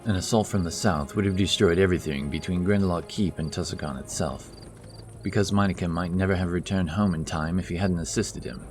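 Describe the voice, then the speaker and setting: A man narrating a fantasy audiobook in a steady, measured way. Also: sits low, soft background music.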